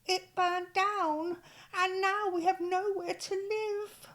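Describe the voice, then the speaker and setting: A woman doing a squeaky tearful mother mouse. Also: fairly even intonation, slow pace, a bit bright, full character voice. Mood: distressed. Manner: high squeaky crying.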